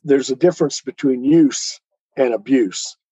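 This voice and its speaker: A man giving explanations as an interview guest, deliberate, declarative and didactic in manner. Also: mid-range voice, warm tone, slightly rough, no echo.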